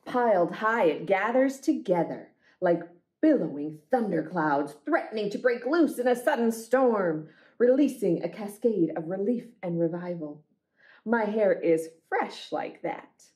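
A woman reading picture books aloud for a children's storytime, with deliberate, expressive phrasing. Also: medium pitch.

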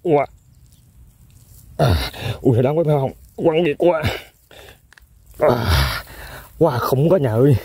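A young man excitedly commenting to viewers while fishing outdoors, exclaiming often. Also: mid-range voice, slow, long pauses, breathy edge, no echo. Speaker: fishing vlogger. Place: outdoors in fields.

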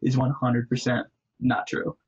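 A young man chatting casually as a guest in a deadpan way. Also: mid-range voice, measured pace, slightly rough. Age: young adult. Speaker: student director and guest. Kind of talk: casual chat. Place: indoor room at home, video call. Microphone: video call. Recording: muffled video-call audio.

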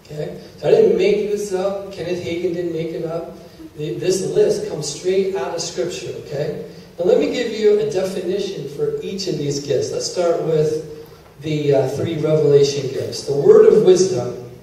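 A man's voice: fairly high pitch, warm tone. A middle-aged man teaching a church congregation in a conversational preaching style, often checking in with his listeners. He holds a handheld mic in a large church hall. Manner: conversational preaching, checks in with "Are you with me?" and "okay?". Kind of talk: sermon teaching. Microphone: handheld mic, filmed from a far camera. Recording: echoey hall from far off.

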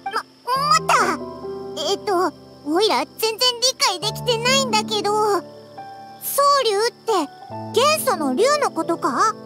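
A woman voicing a tiny, childlike floating fairy companion in a game, blunt, squeaky and exclamatory. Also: sing-song, a little slow, loud music behind the voice, heavily acted. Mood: confused. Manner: squeaky, boyish casual speech, exclamatory. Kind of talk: animated game dialogue.

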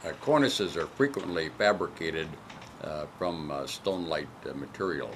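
A man explaining building stone work, halting often with "uh" fillers. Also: extremely low pitch, noisy background. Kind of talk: explanatory narration.

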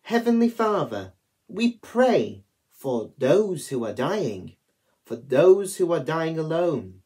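Slow, expressive young man. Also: a little high. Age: young adult. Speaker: prayer leader at online church worship. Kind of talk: reading prayers aloud. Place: small attic room at home.

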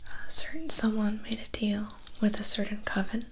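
A woman in her twenties playing a vampire's calm, chatty, slightly awkward assistant in a soft-spoken ASMR roleplay, talking gently and haltingly close to the mic. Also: mid-range voice, slightly rough. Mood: hesitant, matter-of-fact. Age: 20s. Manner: hesitant, halting, gently conversational. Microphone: close microphone, just off camera.

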